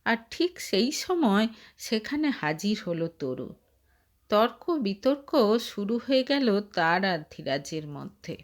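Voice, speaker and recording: Slow, expressive woman storyteller. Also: medium pitch. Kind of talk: scripted dramatic story narration.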